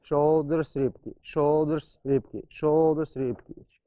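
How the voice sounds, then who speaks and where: A man's voice: fairly high pitch, steady tone, slow pace, dark tone, muffled recording. A man who speaks English as a second language, giving an instructional explanation as a horsemanship trainer, repeating key terms for emphasis. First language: not English. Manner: emphatic repetition of key terms, non-native grammar.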